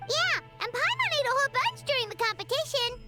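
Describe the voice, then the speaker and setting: A woman voicing a tiny, sassy companion girl in a game, impatient and quick with a comeback. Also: very high voice, big pitch swings, loud music behind the voice. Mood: explaining. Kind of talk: game voice acting.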